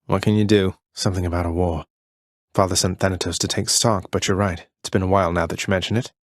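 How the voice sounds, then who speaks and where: A man's voice: deep voice, steady tone, quick pace, dry recording, lightly acted. A man playing a wry, rebellious young prince of the underworld in a video game, speaking in a dry, understated, politely sardonic way.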